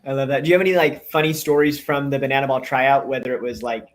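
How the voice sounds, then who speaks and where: A man's voice: medium pitch, steady tone, fast. Upbeat male podcast host asking questions. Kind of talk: podcast interview.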